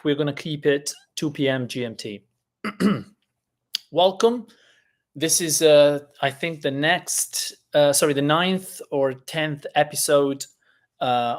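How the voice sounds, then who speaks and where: A man who is a native Italian speaker, hosting a casual live-stream lesson, talking in a chatty, halting way with many fillers. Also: on the high side.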